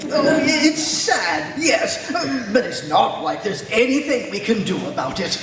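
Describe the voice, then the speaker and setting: Man playing a slow, high-voiced village elder. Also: very animated, a bit bright, a little hiss or hum, heavily acted. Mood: resigned. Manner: nostalgic, hesitant. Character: wistful, resigned village elder. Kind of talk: community readers' theater. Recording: echoey hall, distant mic.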